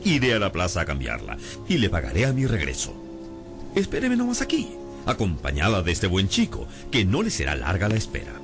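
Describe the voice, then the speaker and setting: A proud, deep-toned, theatrical impoverished gentleman. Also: wildly swooping pitch, a little fast, over music, full character voice. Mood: lofty, solemn. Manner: grandiose, courtly, old-fashioned phrasing. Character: proud impoverished gentleman, Lázaro's master, obsessed with honour. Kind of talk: scripted radio drama acting.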